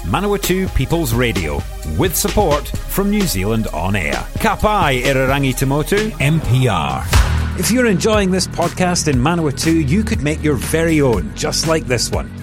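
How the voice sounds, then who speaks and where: A man's voice: a little high, sing-song, moderate pace, over music. A man reading a scripted radio station promo in an upbeat way. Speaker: station announcer.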